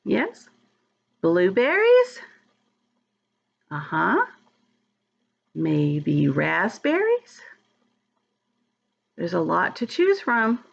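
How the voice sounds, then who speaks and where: A woman's voice: mid-range voice, wildly swooping pitch, very slow, creaky, pauses often, slightly rough, highs cut off. A woman reading a storybook aloud to young children, talking to them directly and expressively, drawing out words. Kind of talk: read-aloud to young children, chatting and asking questions.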